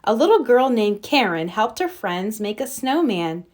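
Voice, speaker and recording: A woman narrates a children's storybook. Her voice sits high and moves around a lot, giving an expressive read-aloud delivery. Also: unhurried. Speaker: storybook reader.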